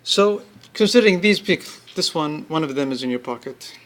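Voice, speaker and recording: A man presenting an informal product demonstration, explaining step by step in halting phrases and repeating himself. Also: on the high side, expressive, a bit bright. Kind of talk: informal spoken product demo.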